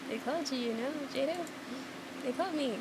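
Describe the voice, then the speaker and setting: A woman's voice: high-pitched, brisk, very noisy. A young adult woman in a casual reaction chat as a video creator, self-deprecating and giggly, reading bits aloud. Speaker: video creator reacting to own visual novel. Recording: loud background music under voice. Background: background music.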